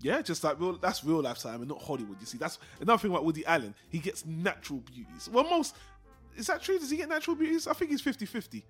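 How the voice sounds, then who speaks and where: A man's voice: fairly high pitch, sing-song, fast, fairly bright tone, husky, faint music behind. A man co-hosting a casual film discussion, rambling and repeating himself for emphasis. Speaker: podcast co-host.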